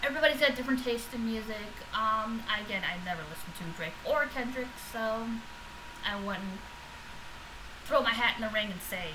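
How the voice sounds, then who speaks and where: A woman's voice: fairly high pitch, animated, moderate pace. Rambling woman vlogger, noisy recording. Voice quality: loud voice. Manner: rambling, with many fillers and restarts. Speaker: vlogger reacting to podcast episodes. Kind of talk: casual unscripted reaction vlog.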